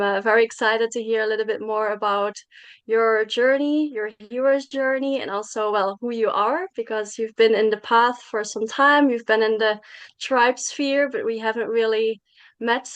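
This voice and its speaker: A woman's voice: fairly high pitch, clean tone, no room sound, lo-fi sound. A woman hosting an interview, asking her guest friendly, curious questions. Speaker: host. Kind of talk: interview host.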